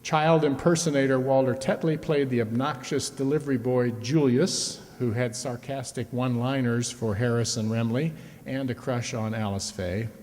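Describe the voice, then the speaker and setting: Guy hosting a stage radio show, reading his intro, medium pitch, echoey hall. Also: steady tone, moderate pace, darkish tone. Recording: reverberant hall, live stage.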